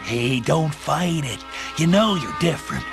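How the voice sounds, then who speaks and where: A man's voice: on the high side, animated, unhurried, creaky. A man playing a menacing, commanding reptilian tyrant in a radio play. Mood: sinister, coaxing. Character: menacing reptilian male tyrant, seductive then explosive. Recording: music behind the voice.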